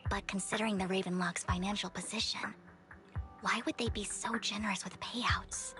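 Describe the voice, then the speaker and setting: Bright-voiced, earnest young game heroine acted by a woman. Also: mid-range voice, steady tone, faint music behind, close to an everyday voice. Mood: serious, pensive. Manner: formal, measured, dramatic. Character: earnest, wounded young woman, formal and devoted. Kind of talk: English video game voice acting.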